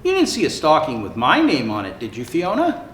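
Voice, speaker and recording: Little koala girl, read by a man: timid, soft, shy storybook character in an echoing room. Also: on the high side, wildly swooping pitch, a little fast, voice pushed down. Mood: shy, wistful. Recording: roomy echo, faint background noise.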